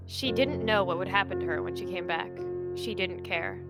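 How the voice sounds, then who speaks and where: A woman narrating a dramatic roleplay story in an expressive, measured storytelling manner. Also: medium pitch, over loud music.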